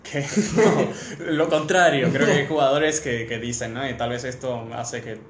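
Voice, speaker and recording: A man's voice: medium pitch, fairly even intonation, measured pace, a little hiss or hum. A young man with a Rioplatense Argentine accent holds forth in a casual sports chat. His talk is colloquial and opinionated. The room around him rings with echo. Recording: roomy, echoey room.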